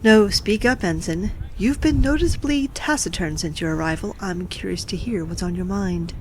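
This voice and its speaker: A woman playing an authoritative, coolly persuasive occupation official in a science fiction audio drama, formal and measured. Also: fairly low pitch, darkish tone, a little hiss or hum, lightly acted, poor audio. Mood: coolly inviting.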